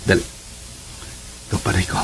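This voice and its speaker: A man acting an affectionate, teasing young husband in a Philippine radio drama, in an expressive manner. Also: normal speed, soft background music, close to an everyday voice, poor audio. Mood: playful, amorous.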